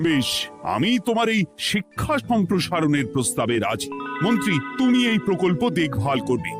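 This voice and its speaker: A man's voice: a little high, big pitch swings, a little fast, music in the background. A man voicing a middle-aged cartoon king who gives orders in a regal, commanding way. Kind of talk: cartoon voice acting.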